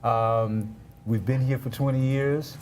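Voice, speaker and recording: A middle-aged man answering interview questions in a measured, halting conversational way. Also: mid-range voice, steady tone, a little mellow. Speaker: arts organization leader, interview guest. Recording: faint background noise.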